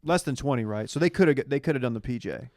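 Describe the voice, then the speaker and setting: American man, mid-pitched, dry podcast banter. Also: animated, rapid-fire, no echo. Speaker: podcast co-host. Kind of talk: casual banter recapping a reality TV show. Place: small podcast studio.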